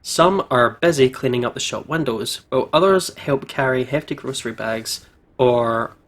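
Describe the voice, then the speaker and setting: A man's voice: medium pitch, normal speed, fairly bright tone. A man hosting a gaming video, reading story narration aloud casually and stumbling over words now and then. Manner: giggly, stumbles while reading. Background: game music.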